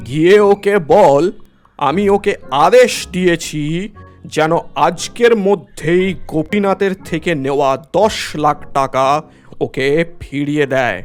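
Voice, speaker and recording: Stern village chief for a cartoon, played by a man: high-pitched, unhurried, indignant, lays down the law. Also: clean tone. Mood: commanding. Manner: scolding, authoritative, drawn-out emphatic delivery. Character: stern, indignant village headman passing judgement. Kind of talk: cartoon voice acting.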